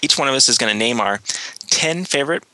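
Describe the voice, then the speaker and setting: A man's voice: medium pitch, expressive, fast, sharp tone, no echo, poor audio. A man hosting a podcast, giving casual announcements with lots of fillers and restarts, a bit under the weather with a cold. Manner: rambling, many fillers and restarts. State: slight cold. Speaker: podcast host.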